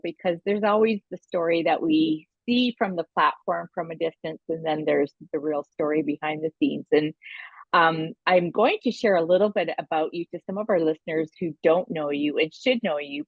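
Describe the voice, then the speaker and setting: A woman podcast host introduces a guest conversationally. Her voice is fairly low, and the recording is very dry and a little dull. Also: creaky, slightly rough, no room sound.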